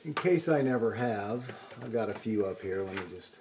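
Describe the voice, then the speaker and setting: Low-voiced man talking through a repair. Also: lively intonation, normal speed, noisy background. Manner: unscripted, thinking aloud while working. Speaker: host of a vintage record player restoration video series. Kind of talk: casual hands-on repair demonstration talk.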